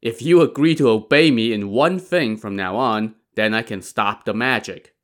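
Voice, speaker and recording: A man's voice: lowish voice, moderate pace, natural voice. A man voicing a sly male magician in a story, teasing and instructing in a mock-serious way.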